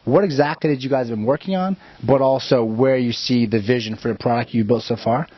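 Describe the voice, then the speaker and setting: Male host for a casual interview, slightly rough-edged husky voice, dull lo-fi recording. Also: medium pitch, a little fast. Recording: roomy, dull lo-fi audio.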